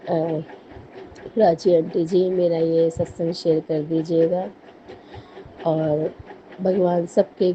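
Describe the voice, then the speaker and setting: A hoarse woman speaks low and fairly flat, telling her devotional story in an earnest, halting way. She keeps circling back to thanks and apologies. The voice note sounds dull and lo-fi, with faint background noise. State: hoarse from a cold and cough. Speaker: devotee sharing a testimony. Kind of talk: devotional personal testimony.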